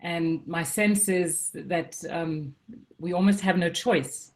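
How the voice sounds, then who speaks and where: A woman from Denmark and Zimbabwe, speaking as a webinar guest over a video call in a slow, reflective way with many pauses and ums. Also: lowish voice.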